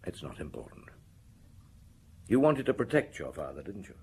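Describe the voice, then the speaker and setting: Radio drama police chief: world-weary, deep and husky, measured questioning, heavy conscience. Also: male voice, sing-song, dark tone, full character voice. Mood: quiet, pressing. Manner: measured, probing questioning, reflective.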